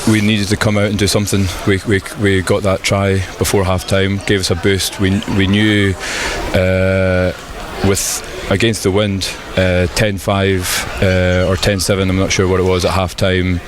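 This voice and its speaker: A man speaking as a team captain in a post-match interview, hesitant and measured as he thinks aloud. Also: low voice, little pitch movement. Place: outdoors at a rugby ground. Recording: outdoor noise with traffic behind.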